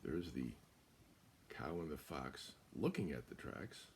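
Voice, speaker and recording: Gravelly older American man reading a storybook. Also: very deep voice, animated, slow, noisy background. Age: older, about 70s. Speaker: guest storyteller. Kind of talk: reading a children's picture book aloud. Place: small room at home, indoors.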